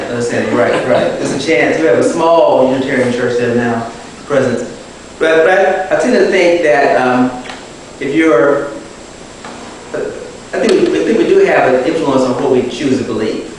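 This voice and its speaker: Man, guest speaker, measured, thoughtful, distant mic, boomy hall. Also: a little hiss or hum. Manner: thoughtful, measured, restarts phrases. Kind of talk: public talk answering questions. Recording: echoey hall taped from far off.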